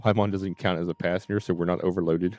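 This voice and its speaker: A man's voice: deep voice, flat delivery, fast, darkish tone, faint music behind. A man streaming games and chatting casually in a hesitant, rambling way. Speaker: game streamer. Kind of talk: casual livestream chat.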